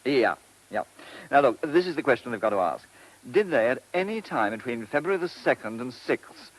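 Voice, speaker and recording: An actor in a vintage radio play voicing a dutiful, plain-spoken police sergeant, official and matter-of-fact. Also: male voice, mid-range voice, sing-song, moderate pace, low-quality recording. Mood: official, brisk.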